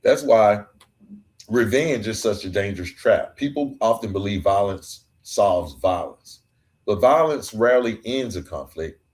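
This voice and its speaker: Earnest low-voiced male podcast host. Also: expressive, a little slow. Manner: earnest, building emphatic repetitions. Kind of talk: reflective podcast monologue.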